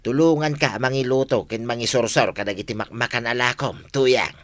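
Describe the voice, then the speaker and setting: A man's voice: medium pitch, brisk, close to an everyday voice. A man playing a proud, reluctant husband who comes round to helping, in an Ilocano radio comedy drama. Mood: grudging, pleading. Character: proud, reluctant husband grudgingly turning helpful.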